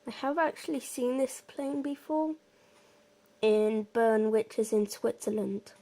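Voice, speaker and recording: A woman presents a casual game walkthrough in a fairly high, mellow voice. Her delivery is very slow and stays quite level. She explains informally, meandering and hesitating a little as she goes. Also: poor audio. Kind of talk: casual gameplay commentary.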